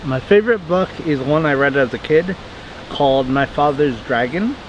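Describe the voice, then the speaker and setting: A man's voice: a little high, lively intonation, moderate pace, a little hiss or hum, poor audio. Rambling young man in the woods. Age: 20s. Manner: rambling, self-correcting, chatty. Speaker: vlogger answering viewer questions. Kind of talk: casual Q&A vlog. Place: outdoors in a wooded backyard.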